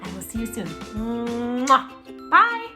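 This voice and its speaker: Animated high-voiced woman reading to kids. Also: slow, over music. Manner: animated, exaggerated, addressing children directly. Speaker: children's storytime host and teacher-like reader. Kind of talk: expressive read-aloud for young children.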